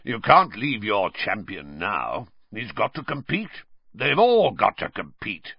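A grizzled old veteran who growls his words out bluntly, put on by the male narrator. His voice is husky. The audiobook recording is dry and slightly dull. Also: lowish voice, sing-song, takes it slowly, no room sound, exaggerated voice. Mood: gruff.

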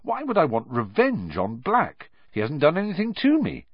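A man's voice: fairly high pitch, wildly swooping pitch, moderate pace, breathy edge, dry recording, dull audio, pitched above the performer's own voice, heavily acted. A man voicing a troubled teenage boy who mutters his puzzled questions.

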